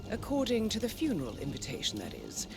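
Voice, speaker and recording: An actress playing a composed, dryly witty intelligence handler giving a poised, articulate mission briefing. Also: female voice, mid-range voice, wildly swooping pitch, fast talker, gravelly. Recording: loud cinematic music behind.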